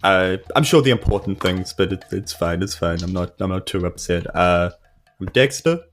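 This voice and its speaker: A man's voice: low-pitched, moderate pace, soft background music, voice pushed down, natural voice. A man with a New Zealand accent playing a polite, exasperated human adventurer in a tabletop game, hesitant and pleading. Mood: hurt, hesitant. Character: weary, polite, awkward human male adventurer. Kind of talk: improvised tabletop roleplay.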